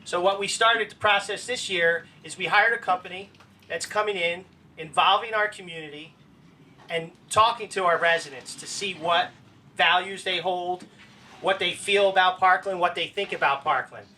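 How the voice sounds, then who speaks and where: A man's voice: high-pitched, pushed and bright. A man serving as a mayor on a panel, speaking casually with many halts and restarts at a live event. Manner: halting, with frequent restarts. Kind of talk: panel answer, casual speech. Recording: distant mic, faint background noise.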